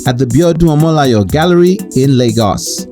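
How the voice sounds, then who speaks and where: A man's voice: medium pitch, expressive, normal speed, warm tone, soft background music. A man reading a scripted promo voice-over for a programme. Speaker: programme announcer.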